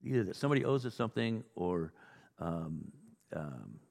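Low-voiced American preacher in a reverberant hall. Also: male voice, big pitch swings, moderate pace, creaky, darkish tone. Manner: informal, acts out dialogue, exhorting. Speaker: pastor preaching. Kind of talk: conversational sermon with anecdotes.

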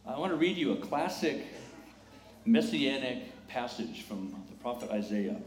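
A man's voice: mid-range voice, expressive, a little slow. Male pastor in an echoey church. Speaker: pastor welcoming a congregation. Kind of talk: church welcome and scripture reading. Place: large indoor church during a live service. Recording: echoey church sanctuary, clear background noise.

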